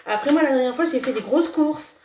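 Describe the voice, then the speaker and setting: A woman's voice: sits high, a little fast, a little mellow, a little hiss or hum. A young woman with a slight cold talking casually through a home cooking video, hesitant and full of fillers and restarts. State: has a cold. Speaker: cooking vlogger. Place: home kitchen. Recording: echoey kitchen, voice from far off. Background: sizzling pan and stirring.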